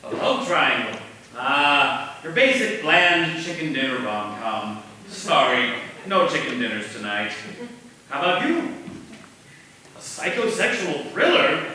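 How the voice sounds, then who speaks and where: Theatrical showman chef, played by a man, lively, sung-through swoops, faint stage noise. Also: on the high side, very echoey. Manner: theatrical, playful, flamboyant. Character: flamboyant showman narrator turned celebrity chef. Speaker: narrator and celebrity chef. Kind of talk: live staged musical reading.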